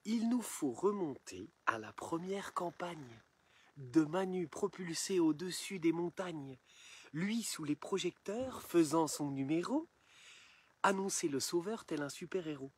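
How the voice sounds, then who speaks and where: A man reciting satirical rhymed verse in a theatrical, measured, declamatory storytelling manner. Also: a little high, animated, slightly rough. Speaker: poem reciter.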